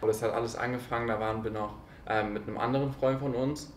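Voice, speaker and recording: A man's voice: mid-range voice, steady tone, quick pace. A man of 21 in a casual group Q&A chat, mixing youth slang with English words. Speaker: member of a social media video group. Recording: echoey room, faint background noise.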